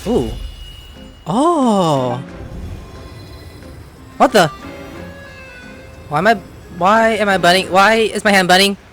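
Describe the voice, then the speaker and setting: A woman's voice: medium pitch, fairly even intonation, moderate pace, long pauses, fairly bright tone. A woman giving casual let's play commentary, reacting to a game with gushing exclamations. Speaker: gamer reacting to gameplay. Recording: faint game music behind.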